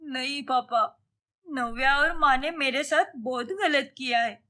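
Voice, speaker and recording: Woman acting a high-voiced vengeful young sorceress. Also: measured pace, pushed and bright. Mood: pained. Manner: accusing, dramatic.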